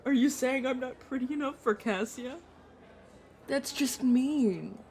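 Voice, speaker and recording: A woman's voice: high-pitched, a little slow, a little mellow, a little hiss or hum. A woman playing a chatty, playful young male vampire mentor in an audio roleplay, talking casually to the listener. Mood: mock offended. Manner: teasing, casual, dramatic about food. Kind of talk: audio roleplay acting, addressing the listener directly.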